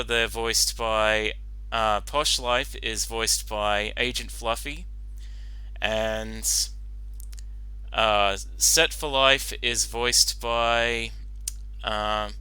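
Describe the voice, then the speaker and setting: A man gives casual reaction commentary. His voice is very bright and clear, and he rambles slowly with frequent stops. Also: on the low side, no echo, poor audio. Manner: halting, rambling, repeats you know. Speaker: reaction commentator and fan-fiction author.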